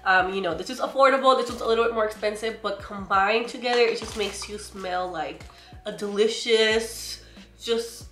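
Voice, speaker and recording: A woman's voice: mid-range voice, moderate pace, a little hiss or hum. Chatty woman vlogger, echoey room. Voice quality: loud voice. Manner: chatty and emphatic, often says "girl" and "you guys". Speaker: beauty vlogger. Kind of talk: casual product haul talk to camera. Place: bedroom, indoors.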